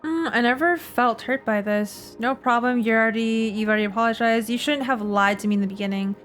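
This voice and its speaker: A woman's voice: on the high side, brisk, a bit bright, faint music behind. A woman game streamer giving casual, slangy, playful commentary during a playthrough.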